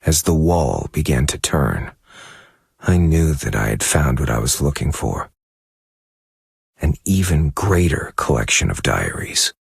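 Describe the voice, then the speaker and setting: A troubled novelist turned investigator in a video game, brooding over his lost memory. His voice is extremely low and very husky, with a gravelly edge. He delivers the monologue gravely and unhurriedly. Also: very animated, dry recording, studio quality, heavily acted. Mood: measured, intent. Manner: slow, grave, measured. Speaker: game character narrator. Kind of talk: dramatic scripted monologue.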